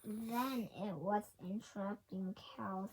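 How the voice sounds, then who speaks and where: Very slow, halting woman reading a storybook. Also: mid-range voice, steady tone, darkish tone, a little hiss or hum, poor audio. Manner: halting, word-by-word reading with stumbles and self-corrections. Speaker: storybook reader. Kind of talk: children's picture book read aloud.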